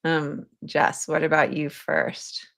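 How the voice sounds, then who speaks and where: A woman's voice: deep voice, very animated, slow, creaky, a bit bright. Enthusiastic, chatty woman hosting. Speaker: podcast host. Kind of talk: livestream interview hosting.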